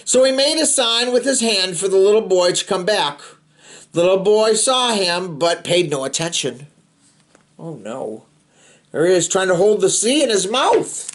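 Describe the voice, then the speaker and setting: Animated man reading a storybook aloud. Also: high voice, normal speed. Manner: playful, expressive, chatty asides to young listeners. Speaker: storybook reader, host of a read-aloud show. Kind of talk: animated read-aloud of a children's picture book with casual asides.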